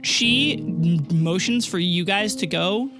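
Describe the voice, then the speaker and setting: A game master narrates a tabletop adventure over prominent music. The pitch sits in a middle range and the delivery is conversational, peppered with "like". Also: slow pace. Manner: conversational, uses "like" often. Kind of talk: narrating a tabletop roleplay audio drama. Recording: music under the voice. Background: background music.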